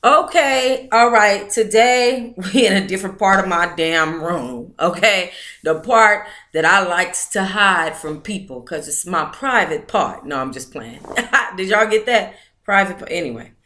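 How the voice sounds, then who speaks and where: A woman with a mid-pitched voice hosts a casual livestream from a room at home. She talks to her viewers in a playful, slangy way, keeping everything informal. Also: lively intonation, unhurried, bright, forceful tone. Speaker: host of an online book club livestream.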